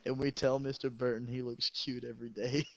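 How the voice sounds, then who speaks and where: A teenage boy, a high school football player, telling stories in a casual, profane and boastful way. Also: flat delivery, brisk, gravelly. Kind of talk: casual storytelling chat. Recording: dull lo-fi sound.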